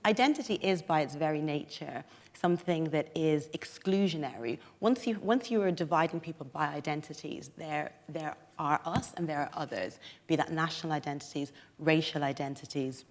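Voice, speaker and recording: A woman with a softened Irish accent speaking analytically and articulately as a panellist in a live discussion in a theatre. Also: deep voice, moderate pace. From Dublin, Ireland. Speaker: debate panellist. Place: on stage in a theatre. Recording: echoey theatre hall.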